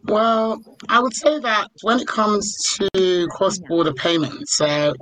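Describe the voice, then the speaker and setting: A man with a slightly raised pitch speaks as a company founder on an online panel. He presents in the style of a business pitch. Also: steady tone, takes it slowly, a bit bright, slight background noise, poor audio. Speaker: company founder and chief executive.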